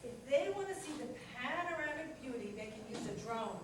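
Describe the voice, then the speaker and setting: A woman's voice: high-pitched, expressive, brisk, far from the mic. Really echoey noisy recording, actress as a nagging practical lady teasing and scolding her hero in a stage comedy. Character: nagging, practical longtime woman companion of Superman. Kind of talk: staged comedy play reading. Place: echoey room at a live staged reading. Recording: very echoey hall from afar, clear noise.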